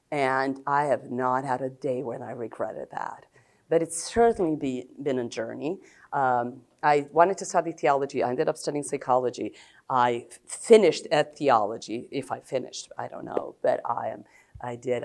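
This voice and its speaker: A woman in her fifties from Serbia with an American-tinged accent, a psychologist giving a blunt, open conference talk with many pauses and fillers. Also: rumbling low, a little mellow. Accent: American-tinged English.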